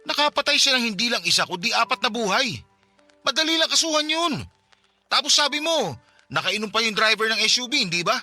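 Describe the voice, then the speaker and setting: A Filipino man voicing a loyal friend and cousin in a radio drama, caring at first and quick to anger at injustice. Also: extremely high pitch, very animated, sharp tone, soft background music, exaggerated voice. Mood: outraged. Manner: flares into angry outbursts. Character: loyal male friend and cousin, bank security guard, hot-tempered about injustice. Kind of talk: Tagalog radio drama acting.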